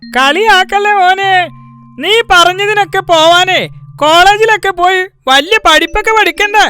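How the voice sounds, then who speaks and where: A woman's voice: extremely high pitch, moderate pace, bright, forceful tone. A woman voicing a proud male shopkeeper and father in a cartoon, who boasts, lectures and scolds. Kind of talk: cartoon dialogue. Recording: music behind the voice.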